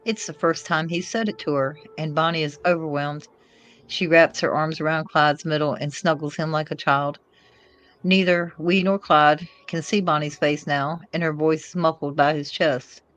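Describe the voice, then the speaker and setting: A woman's voice: sits low, fairly even intonation, normal speed, a bit bright, poor audio. A woman reading screenplay stage directions aloud as scripted narration. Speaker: co-narrator, reader. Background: faint music.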